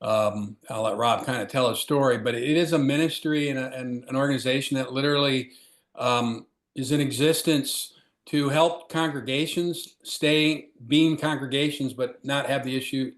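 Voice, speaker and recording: A man who was a pastor, introducing a speaker in an informal, halting way over a video call. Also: mid-range voice, steady tone. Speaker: host, former pastor. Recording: roomy echo.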